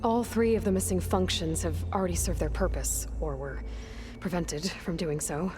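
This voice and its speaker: An actress playing a determined, pragmatic young hunter heroine in a video game, talking in a direct, dry, businesslike way. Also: female voice, lowish voice, moderate pace, music in the background, lightly acted. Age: young adult. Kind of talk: naturalistic video game acting.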